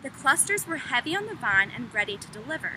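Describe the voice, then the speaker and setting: A woman's voice: high voice, sing-song, fast, bright, forceful tone. A woman teacher reading a story aloud to children, speaking to her young listeners directly, recorded outdoors. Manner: addresses young listeners with questions and prompts. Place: outdoors in the open. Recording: noisy windy outdoor recording with traffic.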